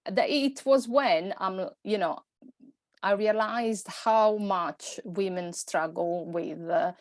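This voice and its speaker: A woman's voice: medium pitch, slow pace, no echo. A woman in her forties from Italy with an Italian accent, a podcast guest telling her story with many fillers and restarts. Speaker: guest, personal stylist. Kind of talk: podcast conversation.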